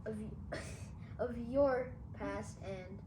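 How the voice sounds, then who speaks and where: A child of about ten asking prepared interview questions and reacting in an earnest, halting way. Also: mid-range voice. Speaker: student interviewer. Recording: echoey, distant mic, noisy room.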